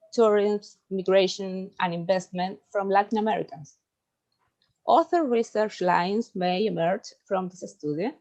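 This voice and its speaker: Chilean woman reading a presentation. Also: mid-range voice. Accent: Chilean Spanish. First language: Spanish. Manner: careful, halting, with filler ums. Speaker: doctoral researcher presenting.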